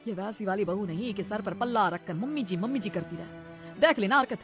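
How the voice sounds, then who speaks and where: A woman's voice: medium pitch, a little fast, over music, lightly acted. A woman voicing a wary older father-in-law in a comic cartoon story, chiding and teasing his wife with skepticism. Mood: teasing, warning. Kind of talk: comic Hindi moral-story cartoon acting.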